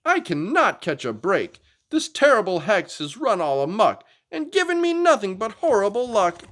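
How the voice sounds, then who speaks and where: Man putting on a high, grumpy young girl dinosaur. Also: very animated, normal speed, fairly bright tone. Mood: complaining.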